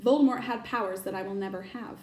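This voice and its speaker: Woman playing a calm, kindly old wizard. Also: medium pitch, reverberant, close to an everyday voice. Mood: gently amused. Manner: calm, measured, genial.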